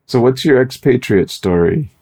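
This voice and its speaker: A man's voice: medium pitch, expressive, normal speed, mellow. A man hosting a casual interview, feeling his way into his questions. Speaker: podcast host.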